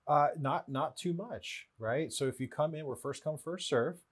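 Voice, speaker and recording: A man's voice: on the high side, normal speed, husky. A man around forty explaining things as an interview guest, often checking in with a "right?". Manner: often tags statements with "right?". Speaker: animal shelter representative, interview guest. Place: small indoor studio-office, seated.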